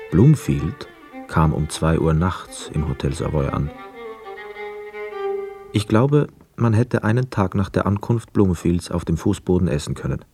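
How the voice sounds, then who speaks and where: Reflective war returnee, radio play narrator, dark voice, measured phrasing, dry close sound. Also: male voice, deep voice, husky, dry recording, heavily acted. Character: young war returnee, reflective, wary narrator of hotel life.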